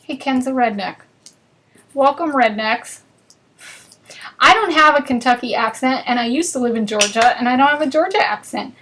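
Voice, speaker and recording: A woman's voice: high voice, normal speed, fairly bright tone, a little hiss or hum. Chatty woman hosting a craft class. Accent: northern US. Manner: chatty and bubbly, chatting with viewers while working. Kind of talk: live crafting demonstration.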